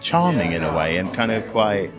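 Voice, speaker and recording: Low-voiced, enthusiastic man at a party. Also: sing-song, normal speed. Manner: enthusiastic, searching for words. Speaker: interviewee from the production. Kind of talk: casual chat interview. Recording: loud music behind. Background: party music.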